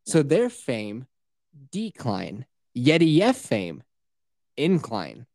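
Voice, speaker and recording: A man co-hosting a podcast, talking in casual, profane comedy banter with a joking, mock-boastful and animated manner. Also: medium pitch, takes it slowly, no echo, clean studio sound. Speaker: podcast co-host.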